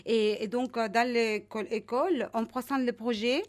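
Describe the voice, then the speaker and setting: A woman's voice: a little high, steady tone, normal speed. A native Spanish-speaking woman with a Chilean accent, answering a radio interview as a guest, searching for words in hesitant non-native phrasing. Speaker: interview guest, image coach.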